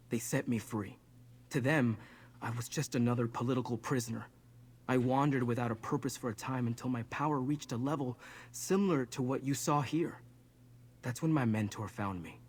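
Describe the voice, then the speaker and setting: A man voicing an earnest, protective young man with a husky voice in a video game. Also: mid-range voice, normal speed, darkish tone, lightly acted. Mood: grave, recounting. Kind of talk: video game character dialogue.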